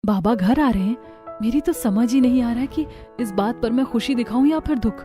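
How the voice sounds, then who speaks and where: Lady doing a sad earnest young daughter in a cartoon, highish voice, music behind. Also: a little fast, natural voice. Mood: hurt. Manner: plaintive, earnest. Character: sad, mistreated young daughter, pleading and meek. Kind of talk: cartoon story dialogue.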